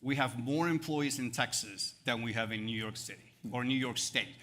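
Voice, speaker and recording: An upbeat man in his fifties with an Argentine Spanish accent speaks in a bright, forward voice at mid pitch. He answers panel questions on stage with promotional energy, stacking up numbers between fillers and quick restarts. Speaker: banking executive. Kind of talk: live panel interview on stage. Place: event stage.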